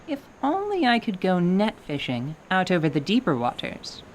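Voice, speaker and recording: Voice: mid-range voice, huge pitch swings, normal speed, slight background noise, voice pushed up. A performer voicing an eager, stubborn young winged girl with a pleading, youthful manner in an audio drama.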